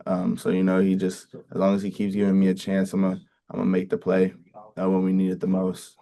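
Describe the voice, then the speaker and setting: A young man from the Bay Area talks through his plays in a casual, halting way, often circling back with ums and restarts. His voice sits very low and stays nearly flat in pitch. It has a gravelly, mellow, dark tone. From Bay Area, California. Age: young adult. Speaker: college football player. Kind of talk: casual interview answers.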